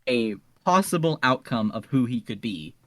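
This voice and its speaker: A man's voice: medium pitch, expressive, normal speed, dry recording. A man talking casually in a podcast discussion as one of the panelists.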